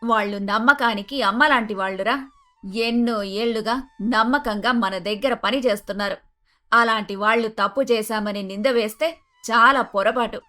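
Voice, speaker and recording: A woman playing an emphatic, emotional middle-aged mother-in-law in an animated moral story. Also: a little high, moderate pace. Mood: earnest, firm. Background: faint music.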